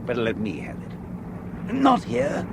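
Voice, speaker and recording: An actor playing a scheming male conspirator who gives curt, hushed orders, in a radio drama. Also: high voice, huge pitch swings, slow pace, vocal fry, noisy background, heavily acted. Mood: commanding. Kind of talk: 1970s radio drama acting.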